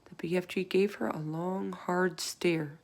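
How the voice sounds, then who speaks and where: A woman's voice: on the low side, steady tone, a little slow, gravelly, darkish tone. A woman reading a children's storybook aloud as scripted narration. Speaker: storybook reader.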